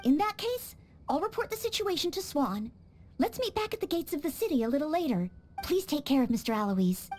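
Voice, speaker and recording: Rich: An earnest young maid knight with a high, lively voice that rises and falls freely. She speaks courteously and formally, eager to serve, over soft game music. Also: a little fast.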